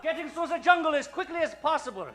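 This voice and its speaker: Smug menacing radio villain, real high and piercing, hammy, bit of music behind. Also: male voice, animated, sharp tone, some chatter behind, full character voice. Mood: bossy. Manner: theatrical, menacing, slow gloating. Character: gloating male villain and master of disguise, pistol in hand. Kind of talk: comic old-time radio adventure acting.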